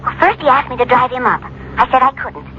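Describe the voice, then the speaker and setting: An actress playing a frightened young woman in a 1970s radio drama, speaking in halting, hesitant phrases. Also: high-pitched, lively intonation, pushed and bright. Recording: muffled old radio broadcast with hiss.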